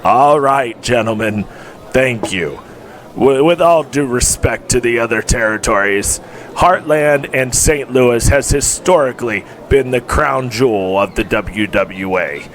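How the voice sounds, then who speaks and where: An actor playing an older, proud wrestling promoter who makes measured, formal speeches in an audio drama. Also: male voice, medium pitch, fairly bright tone, natural voice. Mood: proud, assured.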